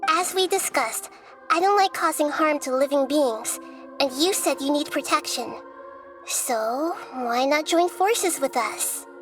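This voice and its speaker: A woman voicing a young girl deity in a video game, wise and calm, with a soft, measured way of speaking. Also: sits high, faint music behind. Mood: persuasive.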